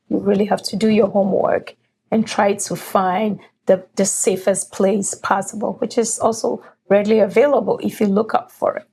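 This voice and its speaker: A woman co-hosting a casual conversation, agreeable and quick to affirm with short backchannels. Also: mid-range voice, creaky, mellow, slight background noise, low-quality recording. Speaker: co-host. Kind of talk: casual chat.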